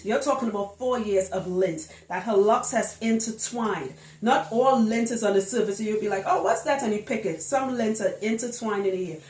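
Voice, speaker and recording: A woman's voice: medium pitch, darkish tone. A woman giving a casual, chatty explainer to camera as a hairstylist, emphatic and fond of rhetorical questions. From Trinidad. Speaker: locs hairstylist. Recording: roomy, echoing indoor sound.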